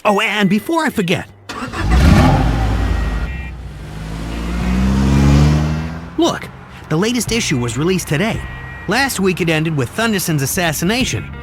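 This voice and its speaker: A man playing an excitable, chatty fixer and comic-book buff who rambles through explanations in an animated game cutscene. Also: sits high, fairly quick, over loud music. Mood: urgent, informative.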